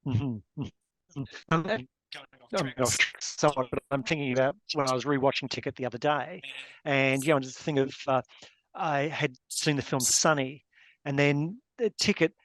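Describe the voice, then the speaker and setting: A man's voice: mid-range voice, moderate pace, a bit bright, no room sound, low-quality recording. A man moderating a webinar panel over a video call, asking long questions that wander and correct themselves. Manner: rambling, self-correcting questions. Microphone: video call microphone.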